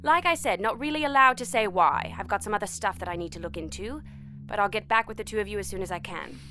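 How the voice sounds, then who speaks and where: An actress playing a businesslike quarantine official in an audio drama, measured and evasive in her delivery. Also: medium pitch, sharp tone, close to an everyday voice. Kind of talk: naturalistic audio drama acting.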